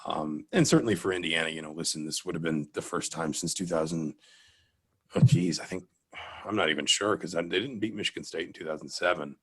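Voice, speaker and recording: A man with a very low American voice speaks as a guest reporter on a sports talk show. He is reflective and careful, frequently restarting a thought to qualify it. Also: brisk, fairly bright tone, husky. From Atlanta, Georgia, US. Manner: reflective, hedging, circles back mid-sentence. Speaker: guest sports reporter, newspaper beat writer. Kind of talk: interview answers, sports talk.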